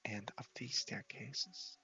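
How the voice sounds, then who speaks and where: A man's voice: lowish voice, takes it slowly, bright, forceful tone, breathy edge, lo-fi sound, faint music behind. A man giving casual ASMR gameplay commentary, often whispering as he thinks aloud. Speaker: game streamer.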